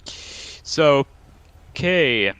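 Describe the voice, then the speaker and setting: A man's voice: a little high, animated, drawn-out words, bright, forceful tone, smooth, dry recording. A young man with a Swedish accent running a roleplay police training, stern and drill-like with dry humor. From Sweden. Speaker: training officer.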